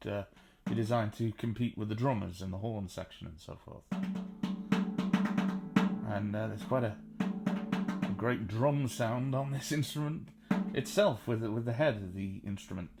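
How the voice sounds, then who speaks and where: Chatty baritone man teaching banjo. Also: gravelly, a little mellow. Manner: chatty, unhurried, frequent ums and uhs. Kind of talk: relaxed spoken music tutorial. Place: seated on a sofa at home.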